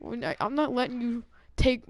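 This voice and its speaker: Clear, dry-sounding young man telling stories. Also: extremely high pitch, lively intonation, normal speed, no echo. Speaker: gaming video host. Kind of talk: casual storytelling over gameplay.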